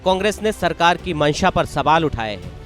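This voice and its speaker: Man, high pitch, scripted news narration, emphatic, formal, light music bed. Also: animated, normal speed, fairly bright tone. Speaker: news presenter. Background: background music.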